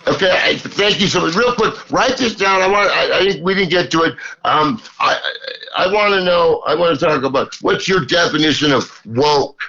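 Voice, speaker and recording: A man's voice: on the high side, moderate pace, gravelly, sharp tone, dull audio. A man in his fifties joking around as a guest, rambling with many restarts. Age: 50s. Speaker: comedic guest. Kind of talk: casual comedic banter.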